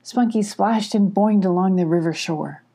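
Older woman reading stories, soft and gravelly. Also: medium pitch, moderate pace. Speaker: storybook reader. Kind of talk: expressive children's story narration.

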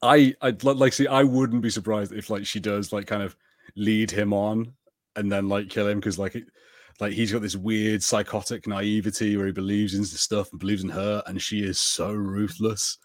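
A fairly low-voiced man co-hosting a podcast, rambling through his points in long loose turns. The sound is dry and close, with no room in it. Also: moderate pace, no room sound. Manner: rambling, many "like" fillers, animated. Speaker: podcast co-host. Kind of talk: casual chat.

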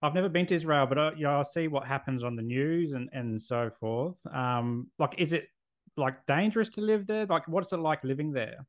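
A man's voice: on the high side, normal speed. A man in his thirties with an Australian accent, hosting a casual podcast interview in a friendly, chatty way. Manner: friendly, chatty, asks questions with fillers. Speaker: podcast host.

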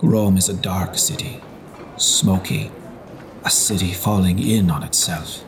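Low-pitched man narrating a historical novel. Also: a little slow, a little hiss or hum. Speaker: audiobook narrator.

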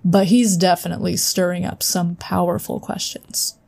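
An actress playing an earnest psionic agent in a sci-fi audio drama, speaking conversationally. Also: female voice, on the low side, steady tone, normal speed, creaky, darkish tone. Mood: concerned, insistent. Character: earnest female psionic agent, loyal but wary.

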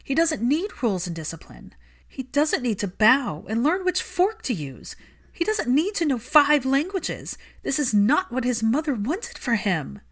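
Woman acting fiercely impassioned, outspoken woman, high-pitched. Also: big pitch swings, measured pace, heavily acted. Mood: impassioned yelling. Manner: emphatic, impassioned, argumentative. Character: fiercely outspoken, emotional woman, indignant caregiver of a child.

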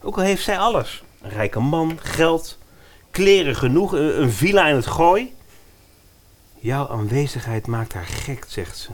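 A man's voice: a little high, sing-song, measured pace, slight background noise. A man playing a young, earnest, broke personal trainer and biker in a radio play. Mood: urgent.

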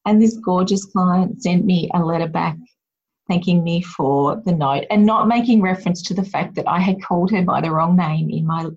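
Husky low-voiced woman chatting reflectively. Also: normal speed, a little mellow, lo-fi sound. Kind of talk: casual chat.

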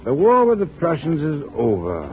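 An actor playing a dedicated middle-aged French scientist in an old-time radio drama, earnest and declamatory. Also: male voice, a little high, huge pitch swings, normal speed. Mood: earnest resolve. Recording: tinny old radio recording with music.

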